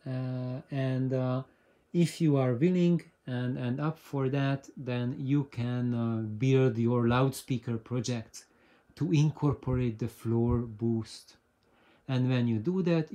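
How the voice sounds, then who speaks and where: A man's voice: medium pitch, warm tone. A man hosting an informal explainer, speaking in a hesitant way and often repeating words as he goes. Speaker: host of an audio hobbyist channel. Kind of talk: informal explainer talk to camera.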